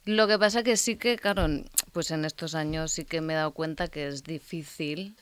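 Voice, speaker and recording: A woman's voice: sits low, moderate pace, clear, resonant tone, no echo. A woman chatting as a guest, talking freely with many fillers and restarts. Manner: chatty, full of fillers and self-corrections. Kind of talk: casual conversation.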